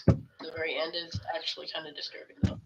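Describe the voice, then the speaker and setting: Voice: mid-range voice, completely flat delivery, fast talker, gravelly, lo-fi sound, a little hiss or hum. A person giving casual, off-the-cuff reaction commentary as host of a video.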